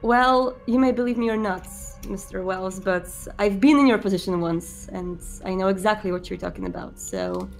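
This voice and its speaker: A woman playing a suave male vampire prince in a tabletop game, speaking formally, politely and with measured authority. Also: medium pitch, very animated, faint music behind, rough recording. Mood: reassuring.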